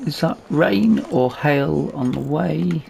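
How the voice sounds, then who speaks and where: A man's voice: a little high, slow, gravelly, darkish tone, a little hiss or hum. A man giving casual let's play commentary, hesitant and musing aloud to himself as he hosts a gaming video.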